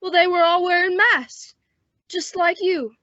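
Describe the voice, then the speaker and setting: Teen girl doing a dying cowboy sheriff for a radio western, high pitched, strained, folksy, kinda muffled dry audio. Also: fairly even intonation, a little slow, bright, forceful tone, dry recording, heavily acted. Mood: resigned. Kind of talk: old-time western radio drama acting. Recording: dull, dry lo-fi radio recording.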